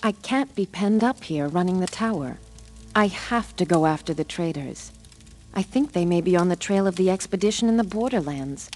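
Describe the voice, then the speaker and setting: Actress for determined female leader of sorceresses, terse and resolute, slightly low voice, fantasy game drama, prominent music behind. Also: expressive, moderate pace, a little mellow, close to an everyday voice. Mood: resolute, impatient.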